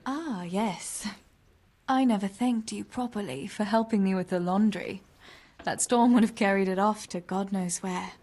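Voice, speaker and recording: Actress as a husky young medieval village woman. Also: medium pitch, measured pace, darkish tone, lightly acted. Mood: warm. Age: young adult. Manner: teasing, bantering. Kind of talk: naturalistic video game dialogue acting.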